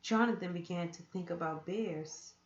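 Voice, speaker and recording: A woman reading a children's story aloud with expressive, deliberate storytelling. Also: lowish voice. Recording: echoey room, dull muffled sound.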